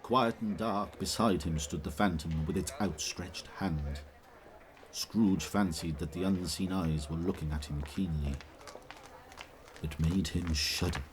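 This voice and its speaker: A man giving solemn, measured scripted narration for an audio drama. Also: sits low, crowd noise.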